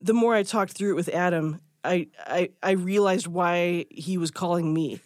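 A woman's voice: fairly low pitch, normal speed, darkish tone, no room sound, clean studio sound. A woman speaking as a guest musician and teacher, telling a personal anecdote in a casual, chatty, self-deprecating way. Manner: chatty, self-deprecating, breaks into small laughs. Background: guitar music.

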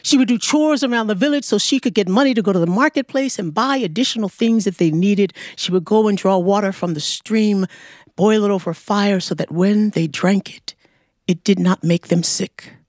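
A woman telling a folktale aloud as a storyteller, in measured, deliberate phrases. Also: mid-range voice, darkish tone, no room sound, studio quality. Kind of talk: live oral storytelling of a folktale. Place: performance studio before an audience.

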